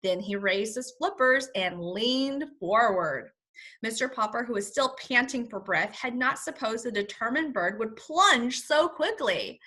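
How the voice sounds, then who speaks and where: Lively, high-voiced woman teacher reading aloud. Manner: expressive storytelling, pauses to explain words simply. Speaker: first grade teacher. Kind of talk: read-aloud lesson for young children with word explanations.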